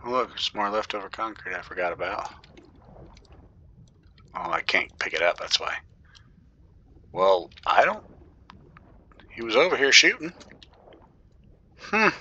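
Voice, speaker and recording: Male gamer, low-pitched but very bright tone, casual commentary that slips into whispers. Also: big pitch swings, normal speed, creaky, long pauses, breathy edge, highs cut off. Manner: thinking aloud, often dropping to a whisper. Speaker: gaming YouTuber.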